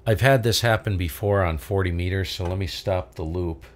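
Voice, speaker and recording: A man with a very low voice talks casually on his amateur radio live stream from his home station, exclaiming at what he sees on screen. He comes from Vermont and spells out call signs slowly and clearly when on air.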